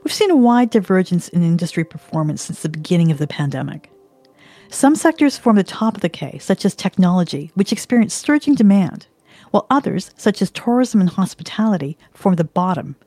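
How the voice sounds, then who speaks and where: A woman narrating a documentary in a calm, explanatory scripted read. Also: lowish voice, brisk, no echo, soft background music.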